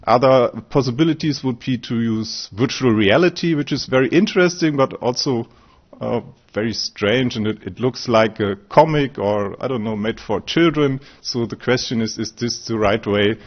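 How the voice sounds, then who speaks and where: A man's voice: medium pitch, moderate pace, lo-fi sound. A man from Austria giving a conference talk as a researcher, hesitant, with frequent fillers and restarts. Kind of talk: conference presentation. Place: conference room.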